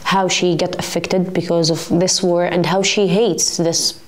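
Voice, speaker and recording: A woman's voice: on the low side, steady tone, a little slow, poor audio. A young woman in her twenties from Gaza, speaking English, answering earnestly with frequent fillers. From Gaza, Palestine. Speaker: student. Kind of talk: interview answers.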